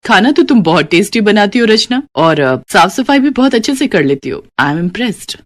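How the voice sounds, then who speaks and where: A woman's voice: mid-range voice, sing-song, moderate pace, close to an everyday voice. A woman voicing a businesslike working mother and employer in a cartoon story. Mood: pleased. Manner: businesslike, authoritative. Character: brisk working mother, demanding but fair employer. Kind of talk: acted dialogue in a Hindi cartoon story.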